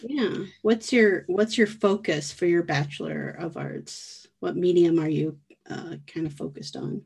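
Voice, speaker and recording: A woman's voice: lowish voice, unhurried, gravelly, darkish tone, breathy edge. A woman hosting a podcast, thanking supporters and interviewing a guest in a friendly, encouraging way. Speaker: podcast host.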